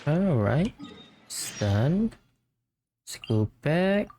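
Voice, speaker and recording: Very low-voiced woman gamer. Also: sing-song, very slow, background noise. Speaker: gamer commentator. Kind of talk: casual playthrough commentary. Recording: faint game music behind. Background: game music.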